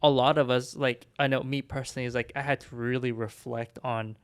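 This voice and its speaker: A young man with a Filipino accent, talking on a podcast in an easy, storytelling way. His voice has a gravelly, fry-edged texture at a middling pitch. He reflects on growing up at an unhurried pace. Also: steady tone. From Philippines. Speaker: podcast co-host. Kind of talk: casual chat.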